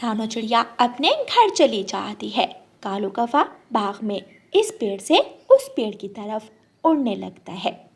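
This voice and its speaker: A woman reads a scripted children's story as narrator. Her voice is high, and her intonation moves in a lively way from phrase to phrase. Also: measured pace, creaky, breathy edge.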